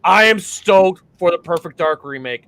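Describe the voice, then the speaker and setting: A man's voice: high voice, lively intonation, moderate pace, a bit bright, smooth, dry recording. A man hosting a casual podcast chat about games and movies, talking eagerly and laughing often. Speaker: podcast host.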